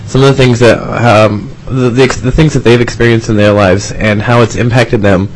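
A man's voice: mid-range voice, fairly even intonation, moderate pace, a little hiss or hum, poor audio. A man from Alaska who supports a youth program, speaking at a committee hearing in a rambling way with many restarts. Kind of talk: testimony. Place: legislative committee room.

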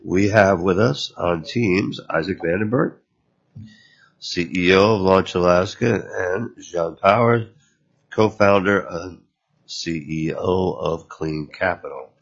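A man chairing a committee hearing, reading procedure in a formal, halting way with frequent uhs. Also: low voice, creaky, slightly rough, dull audio.

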